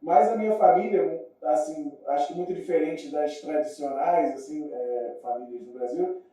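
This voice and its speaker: A man's voice: on the high side, moderate pace, warm tone. Muffled, echoey man with Rio accent. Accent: Rio de Janeiro Brazilian Portuguese. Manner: informal, slangy. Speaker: podcast guest. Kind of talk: casual chat, storytelling. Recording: muffled, very echoey room, distant mic.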